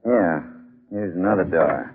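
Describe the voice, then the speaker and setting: Courteous museum professor, vintage radio play, lowish husky voice, expressive intonation, phone-like bandwidth. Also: male voice, measured pace, full character voice. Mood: genial. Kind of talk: 1940s radio acting. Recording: tinny old radio recording.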